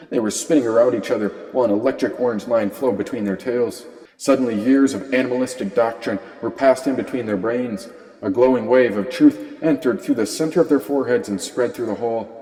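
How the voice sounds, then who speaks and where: A man's voice: mid-range voice, mellow, low-quality recording. A man reading his own first-person story as dramatic audiobook narration.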